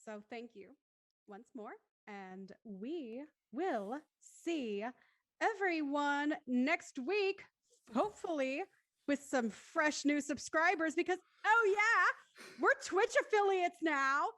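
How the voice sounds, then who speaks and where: A woman's voice: high-pitched, lively intonation, slow pace, fairly bright tone. A woman hosting a casual online panel discussion, effusive and appreciative in how she talks. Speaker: host of an online post-show discussion.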